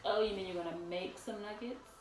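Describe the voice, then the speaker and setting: A woman's voice: medium pitch, fast talker. Teasing mother in an echoey kitchen. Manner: teasing, dry remarks. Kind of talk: casual family chat. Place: home kitchen, indoors. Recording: echoey kitchen, noisy background.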